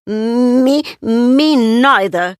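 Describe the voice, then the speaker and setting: A woman voicing a small, timid, squeaky piglet in a children's storybook. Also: extremely slow, smooth, no echo, studio quality. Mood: frightened, timid.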